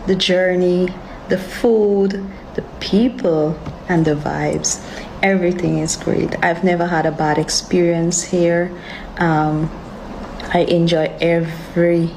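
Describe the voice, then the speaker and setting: A woman from Guyana talking to camera in an unhurried way, pausing often with "um". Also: lowish voice, a little mellow, poor audio. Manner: unhurried, with many "um" pauses. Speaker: vlogger. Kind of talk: casual talk to camera. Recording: clear background noise.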